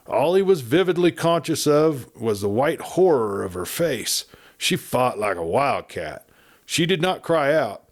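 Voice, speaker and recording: A male storyteller reads an old Western novel aloud. His narration is low and measured. His voice sits at a middling pitch for a man. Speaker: audiobook narrator.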